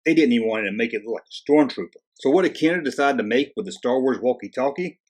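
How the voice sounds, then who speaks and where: Husky-voiced man hosting a jokey video. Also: mid-range voice, animated, fast talker, darkish tone. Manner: wisecracking, sarcastic, conversational. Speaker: host of a toy-collecting video channel. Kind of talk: jokey, casual scripted narration.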